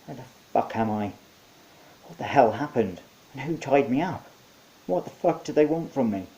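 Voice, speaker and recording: A man's voice: mid-range voice, expressive, normal speed, warm tone, pitched above the performer's own voice, natural voice, rough recording. A man voicing a hapless, anxious young man held captive in a storybook reading. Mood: panicked. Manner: anxious, questioning.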